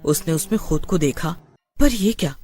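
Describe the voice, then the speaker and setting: Animated male horror story narrator. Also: a little high, moderate pace. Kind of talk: dramatic scripted horror storytelling.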